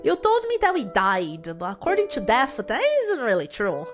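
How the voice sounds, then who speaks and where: A woman's voice: medium pitch, wildly swooping pitch, normal speed. A woman voicing an earnest, anxious young woman in a visual novel, questioning as she talks. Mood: confronting. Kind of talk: visual novel character read aloud. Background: background music.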